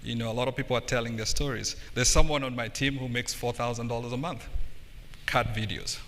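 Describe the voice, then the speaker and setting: Bright-voiced Kenyan man in an echoey hall. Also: medium pitch, steady tone, brisk. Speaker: panelist, tech company internal audit executive. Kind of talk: speaking on a conference panel. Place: indoor event hall, live panel. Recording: echoey hall with background noise.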